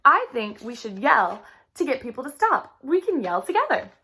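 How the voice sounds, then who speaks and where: A woman's voice: on the high side, big pitch swings, moderate pace, pushed and bright, husky, pitched above the performer's own voice, heavily acted. A woman voicing a cheerful, curious and playful young girl in a children's storybook read-aloud.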